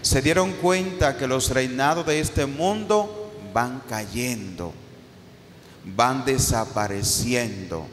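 Man, priest, sermon at pulpit, stand mic, very slow delivery, echoing church. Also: mid-range voice. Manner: slow, rising and falling preaching cadence with repeated phrases. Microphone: handheld mic on a stand. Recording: reverberant church with faint background noise.

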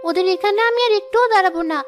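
A woman's voice: extremely high pitch, racing, soft background music. A woman voicing a poor, innocent young village girl in a cartoon, with a childlike, plaintive delivery. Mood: lonely, sad. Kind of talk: Bengali moral-story cartoon dubbing.

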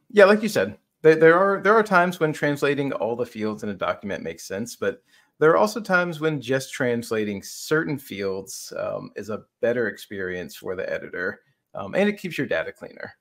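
A man's voice: on the low side, sing-song, moderate pace, vocal fry. A man in his thirties explaining a product on a video call as a conversational co-presenter. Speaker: software demo co-presenter.

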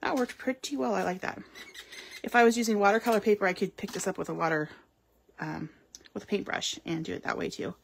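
A woman's voice: mid-range voice, fairly quick, creaky, a little hiss or hum. Chatty woman demonstrating card making. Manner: chatty, explains while working, frequent ums. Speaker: craft store demonstrator. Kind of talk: live card-making demo. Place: craft table at home or in a studio.

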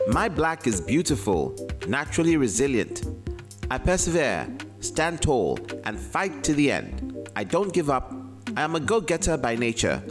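A man's voice: medium pitch, sing-song, normal speed, loud music behind the voice. A man reciting a poem in a dramatic spoken-word style. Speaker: poetry reader.

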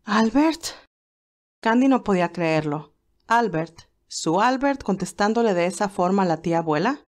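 A woman's voice: medium pitch, animated, normal speed, darkish tone. A woman reading scripted story narration in Spanish, telling a tale. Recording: music behind the voice. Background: classical music.

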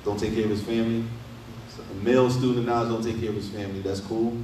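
A middle-aged man talks through a religious lesson in a relaxed, conversational way, dropping rhetorical questions as he goes. His voice is low and mellow. The sound is echoey and distant, as if picked up by a desk mic set back in a small room. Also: quick pace, a little hiss or hum. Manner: conversational, asks rhetorical questions with frequent "right?". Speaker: imam giving a lesson. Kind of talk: casual Islamic lecture. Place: small indoor study room. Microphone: desk mic on a stand.

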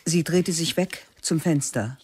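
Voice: mid-range voice, measured pace, darkish tone, husky. A narrator telling a radio drama in terse, matter-of-fact sentences. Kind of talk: scripted narration.

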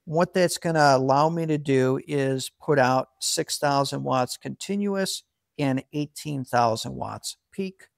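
A man's voice: mid-range voice, a little slow, creaky, no echo. A man in his forties hosting a solar equipment channel, explaining his setup in a plainspoken, practical way from an indoor garage workshop. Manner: plainspoken, practical, with frequent fillers and restarts. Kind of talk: casual explainer, talking to camera.

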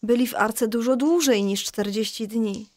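A woman playing a patient mother who explains a Bible story to children in a children's audio drama, reassuring and clear. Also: a little high, normal speed, a little mellow, no room sound, close to an everyday voice. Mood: patient, explaining.

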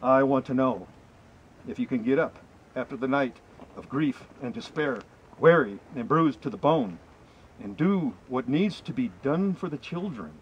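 A middle-aged man reciting poetry to a small group outdoors, with earnest, deliberate and emphatic phrasing. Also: mid-range voice, animated. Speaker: poem reader, presenter. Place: outdoors in a wooded area. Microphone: camera mic a few meters away. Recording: slightly muffled outdoor camera audio, faint ambient noise.

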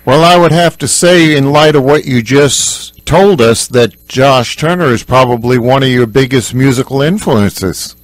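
A man hosting a radio interview, asking measured, scripted questions. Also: medium pitch, no echo. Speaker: radio DJ host.

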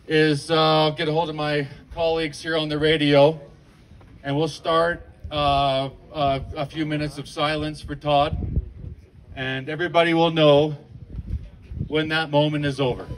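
A middle-aged man with a loud, informal way of talking, emceeing a memorial event on an outdoor stage. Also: a little high, level tone, measured pace. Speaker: ski patrol emcee. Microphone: handheld mic, filmed from the audience. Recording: distant phone capture with background noise.

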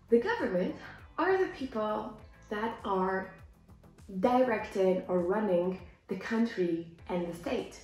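A woman's voice: a little high, a little mellow. A young woman teaching English to learners, reading aloud and explaining in simple, careful phrases with little check questions. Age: young adult. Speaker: English teacher and video host. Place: small bare indoor room. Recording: echoey bare room, music behind.

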